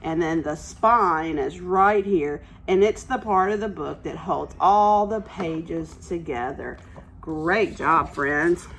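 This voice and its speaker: A woman's voice: low-pitched, slow, a bit bright, a little hiss or hum. A woman teacher reading aloud to young children, explaining simply and asking encouraging questions as she goes. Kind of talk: interactive read-aloud lesson for young children.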